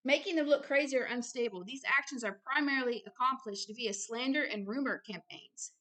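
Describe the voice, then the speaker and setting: A woman with a fairly high, sharp and forceful voice speaks as a religious host. She moves informally between reading aloud and personal commentary. Her delivery is earnest and insistent, with key phrases repeated for emphasis. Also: fairly quick.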